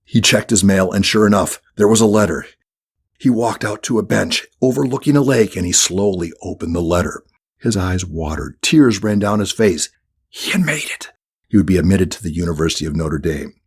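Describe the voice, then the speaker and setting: A man's voice: low-pitched, normal speed, breathy edge. A man reading a scripted children's audiobook as the narrator.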